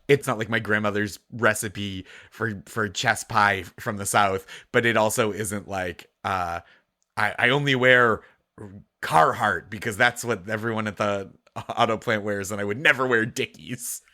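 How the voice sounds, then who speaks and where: A man from Massachusetts with a light Massachusetts accent, guest co-host in an animated, chatty podcast conversation. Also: fairly low pitch, measured pace. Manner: animated, chatty, laughs often. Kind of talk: casual chat.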